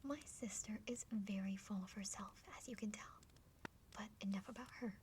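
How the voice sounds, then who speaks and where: A woman voicing a friendly, curious younger half-dragon sister in a breathy, chatty whispered ASMR roleplay. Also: medium pitch, fairly even intonation, normal speed, a little mellow, lightly acted. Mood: amused, curious. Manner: chatty, playful, hesitant stammers. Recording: faint background noise.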